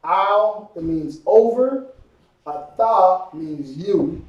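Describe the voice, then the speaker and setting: A man leads a language class in a somewhat higher voice, slowing down to repeat each word for his students and checking in with "you understand?" The sound is roomy and echoing, with the mic set well away from him. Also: animated, lo-fi sound. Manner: repeats words slowly for drilling, frequent "you understand?". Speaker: Hebrew language teacher. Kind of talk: classroom lesson, call and response. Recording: echoey room, mic far off.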